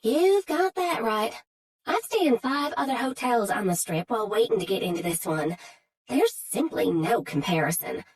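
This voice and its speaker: A woman voicing a bubbly, excitable Southern woman in her early thirties, with a Southern accent and a husky edge. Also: a little high, normal speed, pitched above the performer's own voice. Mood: gushing. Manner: gushing, excitable, chatty.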